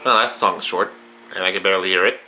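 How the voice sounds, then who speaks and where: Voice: deep voice, fairly even intonation, fast talker, background noise. A host giving casual reaction commentary with wry interjections and joking asides over an audio drama. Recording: loud music and drama audio underneath. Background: music and audio drama playing.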